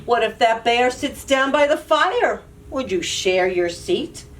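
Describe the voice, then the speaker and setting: A woman reading picture books aloud to young children, with an animated, playful, questioning delivery. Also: a little high, slow pace. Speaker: children's librarian and storyteller. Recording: distant mic, audible background noise.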